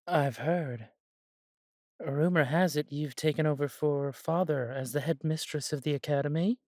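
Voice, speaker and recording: A man playing a warm, humble elf brother in a scripted fantasy audio roleplay, speaking in measured, slightly formal phrases. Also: a little high, no room sound, studio quality, natural voice. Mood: warm, reassuring. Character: warm, humble elf brother without magic, devoted to family.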